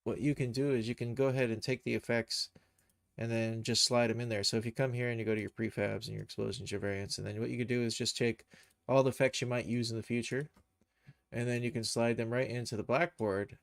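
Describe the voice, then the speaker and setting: A man giving a casual live software tutorial, thinking aloud and muttering to himself as he works. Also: medium pitch, fairly even intonation, quick pace, creaky, dry recording. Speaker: streamer, animation tutor.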